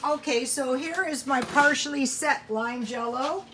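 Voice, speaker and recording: High-voiced woman rambling through home cooking. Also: a little slow, noisy background. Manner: rambling, thinking aloud, folksy. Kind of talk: casual chat while cooking, talking to viewers. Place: home kitchen.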